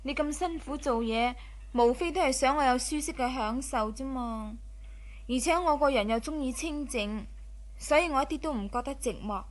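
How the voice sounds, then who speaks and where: An actress playing a gentle, devoted and coy young wife in a radio drama, soothing in manner. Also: female voice, high voice, moderate pace, natural voice. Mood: gentle, reassuring. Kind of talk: Cantonese radio drama acting.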